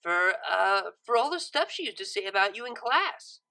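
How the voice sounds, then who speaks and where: A woman's voice: mid-range voice, sing-song, normal speed, gravelly, pushed and bright, exaggerated voice. A woman voicing a brash, spiteful young unicorn mare who talks in slang and gets defensive and flustered. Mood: prodding.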